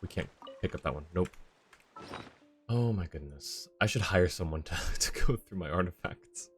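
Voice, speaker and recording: A man's voice: sits low, animated, moderate pace. A man chatting casually with viewers and muttering to himself as he commentates on his live gameplay. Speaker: live streamer. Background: game music.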